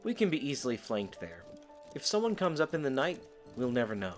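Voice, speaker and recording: A man acts out an earnest young knight in an audio drama. His mid-pitched voice moves around in lively swings, practical in tone, with music underneath. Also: brisk, close to an everyday voice. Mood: serious, cautious. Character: young male knight, earnest, cautious, playfully curious. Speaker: voice actor.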